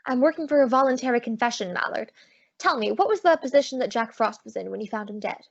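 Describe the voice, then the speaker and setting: Wisecracking female private eye woman actor, highish voice, brisk, teasing radio-drama delivery, take-charge attitude. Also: expressive, vocal fry, no room sound. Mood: brisk, confident. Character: sassy, quick-witted female private detective, in command. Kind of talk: old-time radio drama acting with first-person narration. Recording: dull lo-fi audio.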